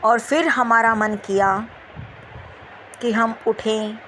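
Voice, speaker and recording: Slow-paced woman guiding meditation. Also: a little high, fairly even intonation, slight background noise. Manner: gentle instruction with repeated phrases and long pauses. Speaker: guided meditation teacher. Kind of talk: spoken guided meditation.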